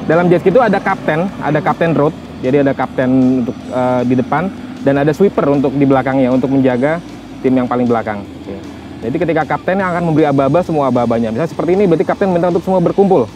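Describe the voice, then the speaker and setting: A man's voice: fairly high pitch, fast talker, over music. A man, explaining things as a guide and instructor. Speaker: jet ski guide and instructor. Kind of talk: explanatory interview answers. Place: outdoors on a grassy seaside shore.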